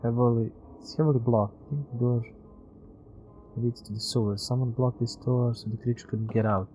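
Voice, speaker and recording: A man's voice: medium pitch, steady tone, moderate pace, mellow, slight background noise, low-quality recording. A man giving casual live video game commentary as a streamer, thinking aloud, muttering to himself and swearing freely. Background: music.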